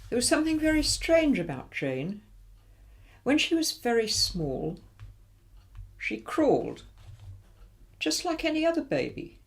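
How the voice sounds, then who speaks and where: Animated woman reading a children's story, slightly creaky. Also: a little high, a little slow, pauses often. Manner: animated, dramatic emphasis for young listeners. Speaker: volunteer story reader. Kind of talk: expressive children's storybook narration.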